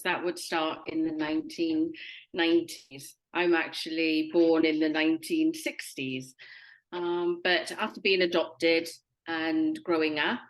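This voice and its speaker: A woman in her fifties or sixties with a Welsh accent, telling her own life story reflectively, with pauses. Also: lowish voice, poor audio. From Wales. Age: 50s or 60s. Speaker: podcast guest. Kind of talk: personal life story in interview.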